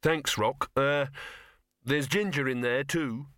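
An exasperated, sarcastic young man in a storybook, read by a male narrator. The voice is a little raised, bright and forceful. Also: mid-range voice, takes it slowly, no room sound, studio quality. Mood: awkward. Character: young man, exasperated, sarcastic, quick to smooth things over. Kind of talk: audiobook character voice.

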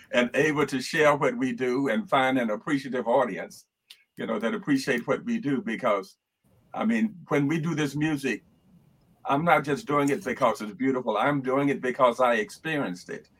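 An older man from Alabama, a choral conductor who reflects and tells stories in a relaxed chat, often restarting his sentences. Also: mid-range voice, moderate pace, slightly rough, poor audio. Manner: reflective, storytelling, explains with many restarts. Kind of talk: casual interview chat.

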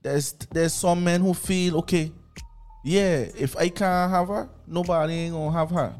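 A man's voice: on the high side, steady tone, moderate pace, darkish tone, faint music behind. A man co-hosting a radio talk show, speaking earnestly and often repeating words as he starts a thought.

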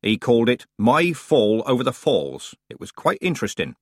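A man's voice: medium pitch, huge pitch swings, normal speed, no echo. A man voicing a stern, proud old witch woman with a dry, matter-of-fact manner.

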